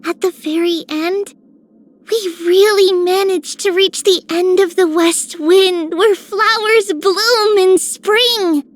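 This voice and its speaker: A woman's voice: very high voice, slow pace. A woman voicing an eager, playful young girl heroine in a video game, chatty and exuberant. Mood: hopeful, excited. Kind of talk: video game character voice lines.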